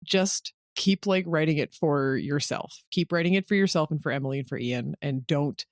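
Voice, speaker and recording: A woman's voice: deep voice, moderate pace, no echo, studio quality. A woman who writes audio drama, chatting casually in an interview. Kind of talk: casual chat.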